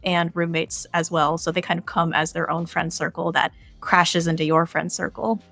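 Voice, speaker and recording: Woman with a low, creaky voice. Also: steady tone, moderate pace, a bit bright, faint music behind. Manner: informal, explanatory, peppered with "like" and "kind of". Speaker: game creator being interviewed. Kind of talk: casual podcast interview talk.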